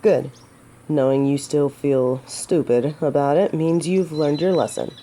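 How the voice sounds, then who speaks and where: A woman's voice: sits low, steady tone, measured pace, dark tone, a little hiss or hum. A woman playing a gallant, teasing yet earnest female knight in a romantic fantasy audio drama, with formal, old-fashioned phrasing. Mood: playful, teasing.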